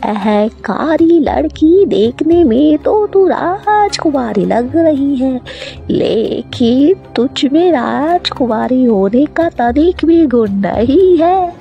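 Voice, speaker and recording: A woman voicing an angry old village woman who lays curses, in a stern, drawn-out scolding manner for a cartoon story. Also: high-pitched, animated, vocal fry, highs cut off, soft background music, pitched above the performer's own voice, full character voice. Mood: furious scolding.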